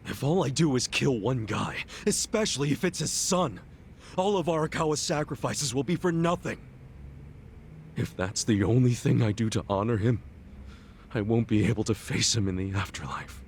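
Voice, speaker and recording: An actor playing a loyal, earnest middle-aged ex-yakuza hero in a video game, talking in a blunt, heartfelt, streetwise way. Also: male voice, mid-range voice, expressive, normal speed, slight background noise, natural voice. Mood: earnest, resolute. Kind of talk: video game cutscene dub acting.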